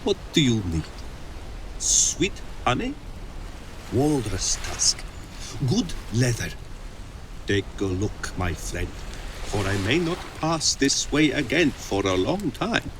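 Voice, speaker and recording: An actor playing a genial seafaring merchant in a video game, pitching his wares in an inviting, salesmanlike way. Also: very animated, slow, pauses often, noisy background. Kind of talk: dramatic game cutscene acting.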